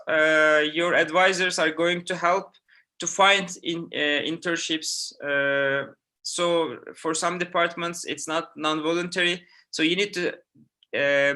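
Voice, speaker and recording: A middle-aged man from Turkey speaks English with a Turkish accent, answering students' questions as a professor. His voice sits a little high and sounds bright and forceful. He halts often, filling pauses with "uh" and restarting his sentences. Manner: halting, many "uh" fillers and restarts. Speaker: university professor. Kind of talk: online info session answering student questions.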